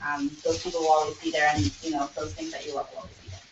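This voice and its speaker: A woman gives sincere, meandering advice in relaxed chat. She is picked up from across an echoey room, and the sound is dull and faintly hissy. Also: deep voice, very fast, distant mic. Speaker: cosplayer on a live stream. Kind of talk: casual live stream chat.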